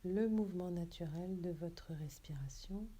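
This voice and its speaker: A woman yoga teacher leading a guided relaxation meditation in a soft, breathy, hushed and soothing, unhurried way. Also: on the low side.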